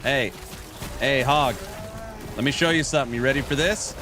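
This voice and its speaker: A man's voice: medium pitch, moderate pace, music in the background. A man in his late thirties from California, streaming a game and joking around as he reads viewer chat aloud. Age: late 30s. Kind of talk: casual livestream chat while gaming.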